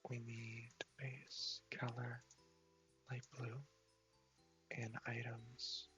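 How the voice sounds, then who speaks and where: A man softly narrating his gameplay ASMR-style into a headset microphone in a casual, unhurried, rambling way, at times dropping to a whisper. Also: medium pitch, monotone, muffled recording, faint music behind. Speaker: host of a gaming channel. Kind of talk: soft-spoken ASMR gameplay commentary.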